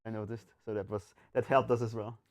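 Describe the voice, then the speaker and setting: A man's voice: fairly low pitch, level tone, a little fast. Friendly man retelling a story. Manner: friendly, agreeable, retells with quoted asides. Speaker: podcast co-host. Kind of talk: casual livestream chat.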